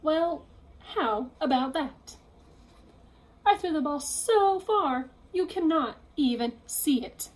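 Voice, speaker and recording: A woman voicing an excitable, boastful little female pig in a children's picture-book read-aloud, exclaiming in a gleeful sing-song. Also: high voice, takes it slowly, poor audio. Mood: excited.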